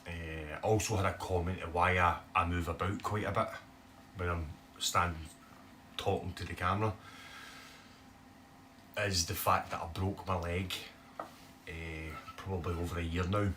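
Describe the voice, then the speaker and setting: A man with a broad Scottish accent, talking casually to camera for his bass guitar channel, rambling with lots of "eh" fillers. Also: level tone, normal speed, pauses often, bright, forceful tone, far from the mic, slight background noise. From Scotland. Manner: chatty, rambling, many "eh" fillers. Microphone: phone camera.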